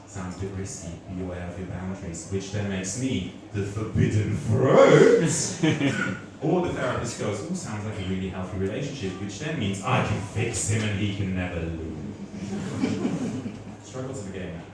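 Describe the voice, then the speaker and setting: A man from Switzerland with a slight German accent performing a stand-up comedy set, deadpan with bursts of exaggerated delivery. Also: deep voice, normal speed, distant mic. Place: comedy club stage before a laughing audience. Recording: roomy club audio with audience noise.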